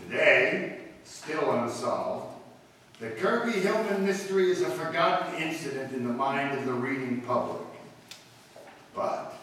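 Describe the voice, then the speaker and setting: Highish-voiced male radio-show host, very echoey hall. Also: a bit bright. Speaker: host and narrator. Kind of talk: live radio-show presenting and scripted narration. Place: large hall, live performance. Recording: echoey hall, distant mic, clear noise.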